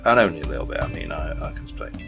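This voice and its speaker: A man's voice: extremely low pitch, animated, racing, creaky. A man from South Yorkshire with a light accent, rambling and self-deprecating through casual game commentary, full of fillers and restarts. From South Yorkshire, England. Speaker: gaming YouTuber. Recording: gameplay with background music.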